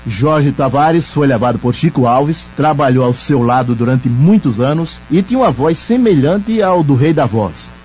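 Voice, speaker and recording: A man with a mellow, soft-edged voice narrates memories as a radio veteran. The sound is a little dull, like radio, with music playing faintly underneath. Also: mid-range voice, animated, normal speed, few pauses. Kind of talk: recollecting narration. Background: background music.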